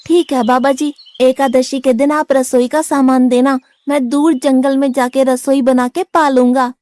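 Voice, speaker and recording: A woman's voice: high voice, flat delivery, measured pace, a little mellow. A woman voicing a naive, stubborn young male disciple who loves food, speaking bluntly and indignantly in a cartoon story. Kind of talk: Hindi cartoon story dubbing.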